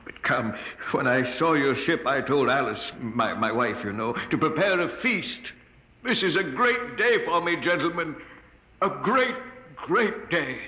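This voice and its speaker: A male actor playing a lonely, elderly gentleman scientist in a 1950s radio drama, speaking formally and haltingly, often repeating his words. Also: on the high side, animated, breathy edge, heavily acted. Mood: excited, welcoming. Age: older. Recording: dull vintage 1950s radio broadcast.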